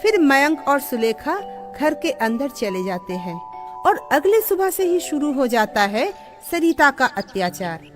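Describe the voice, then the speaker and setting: A woman narrating a scripted moral story in an expressive storybook delivery. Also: sits high, unhurried. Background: background music.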